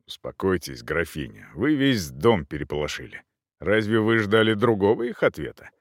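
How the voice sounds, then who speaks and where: A man's voice: big pitch swings, measured pace, no echo, studio quality. A man voicing a stern, weary knight with a restrained, curt manner in an audiobook. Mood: weary calming. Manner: low, restrained, reluctant.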